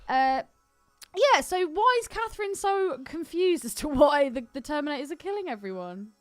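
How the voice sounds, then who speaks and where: A woman with a fairly high voice co-hosts a podcast, recorded close and dry. She talks in loose comic banter, teasing and swearing freely, with a giggly streak. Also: lively intonation, moderate pace, no echo, soft background music. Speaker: podcast co-host.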